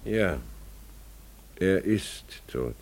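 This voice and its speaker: An actor playing a grave, bewildered family father who tells his story haltingly and deliberately in a German radio play. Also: deep voice, lively intonation, vocal fry, darkish tone, exaggerated voice. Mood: grave, resigned.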